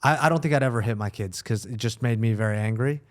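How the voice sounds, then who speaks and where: A man's voice: mid-range voice, fast. A young American man chatting casually in a group, explaining things in a reflective way. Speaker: podcast participant.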